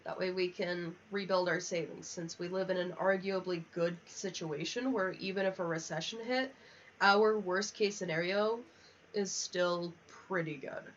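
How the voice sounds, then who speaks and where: A woman's voice: lowish voice, fairly even intonation, measured pace. A young American woman rambling casually on a livestream while gaming, chatty and unfiltered with frequent swearing. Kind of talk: casual rambling livestream chat over a video game. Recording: dull lo-fi mic with clear background noise.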